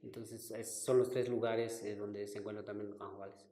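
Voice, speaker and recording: A man in his forties whose first language is Q'anjob'al, a linguistics professor calmly recalling his path in an interview. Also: on the low side. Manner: thoughtful, unhurried, searching for words. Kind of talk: interview answers, personal recollection. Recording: roomy recording with long reverb.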